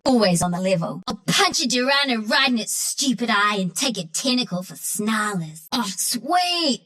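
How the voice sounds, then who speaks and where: A woman's voice: a little high, fairly bright tone. A woman voicing a cocky, mischievous little furry forest sharpshooter for a video game, with an Australian accent and a cheeky, breezy, slangy delivery. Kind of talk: video game character voice lines.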